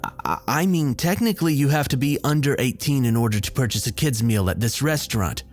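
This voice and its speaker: A man voicing a flustered, polite drive-thru worker in a story, hesitant, stammering and hurried. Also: mid-range voice, lively intonation, soft background music, pitched above the performer's own voice, lightly acted. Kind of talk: story dialogue.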